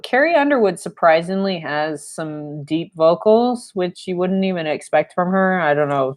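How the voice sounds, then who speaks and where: A young woman with a notably low, deep-set voice talks at an unhurried pace while she paints. She rambles in a friendly, chatty way, full of fillers. She is heard through a camera mic a little way off in a room at home. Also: a little mellow. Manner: rambling and chatty, with fillers and playful sound effects. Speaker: painting instructor. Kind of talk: live-stream painting lesson with chatty asides. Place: home studio room, indoors.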